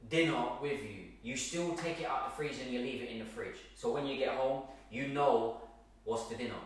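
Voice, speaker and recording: Emphatic man in a very echoey kitchen. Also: mid-range voice. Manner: emphatic, repeats points, keeps asking okay. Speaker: fitness coach. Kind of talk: direct-to-camera fitness tips. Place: large bare home kitchen. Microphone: far camera, no visible mic. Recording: very echoey room, from far off.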